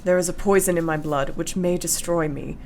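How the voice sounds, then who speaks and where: An actress playing a brave, devoted young wife in a radio play, speaking solemnly and formally. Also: female voice, on the low side, steady tone, a little fast, a little mellow, a little hiss or hum, lightly acted. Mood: solemn pleading.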